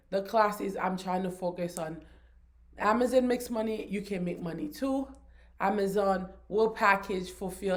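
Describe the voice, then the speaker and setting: Haitian-born woman, business coach, unhurried teaching delivery, medium pitch. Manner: slow, deliberate, encouraging. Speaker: business strategist. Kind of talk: course overview presentation.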